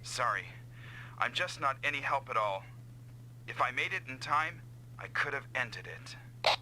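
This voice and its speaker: An actor playing an earnest, self-doubting comrade pilot who is eager to help, in a dubbed anime-style video game. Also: male voice, mid-range voice, lively intonation, a little slow, very noisy, close to an everyday voice. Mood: apologetic.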